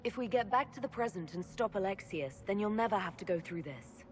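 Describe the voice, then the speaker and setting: A woman's voice: medium pitch, fairly quick, natural voice. Woman playing a composed heroine in a reverberant hall. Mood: determined. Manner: calm, earnest, lightly dry. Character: composed, determined, reassuring elven heroine. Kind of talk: dramatic video game voice acting. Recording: hall reverb, noisy ambience.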